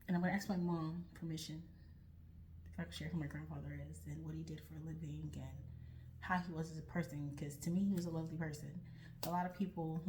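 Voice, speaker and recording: A woman's voice: lowish voice, slight background noise, rough recording. Earnest young woman talking to camera. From United States. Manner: earnest, reflective, with frequent restarts and "like". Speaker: vlogger starting a nonprofit. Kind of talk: earnest personal talk to camera.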